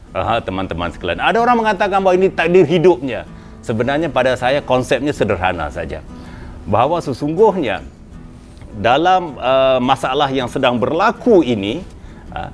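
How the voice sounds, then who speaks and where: Malay-accented man, casual business talk. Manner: conversational, with frequent fillers and pitch jumps for emphasis. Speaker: host. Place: indoor room with a small group present.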